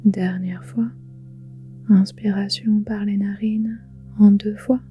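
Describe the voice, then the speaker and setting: A woman guiding a relaxation meditation in a soft, breathy, whispery voice, soothing, with gentle pauses. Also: medium pitch, flat delivery, muffled recording. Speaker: yoga nidra guide. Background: soft music with singing bowls.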